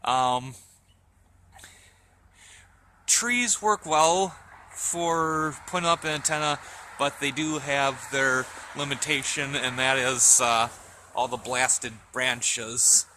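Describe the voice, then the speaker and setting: Bright-voiced man, casual and halting outdoors. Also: mid-range voice. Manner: halting, hesitant, thinking aloud. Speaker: hobbyist vlogger. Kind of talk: casual unscripted show-and-tell. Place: outdoors in a yard in winter. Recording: outdoor camera audio with faint background noise.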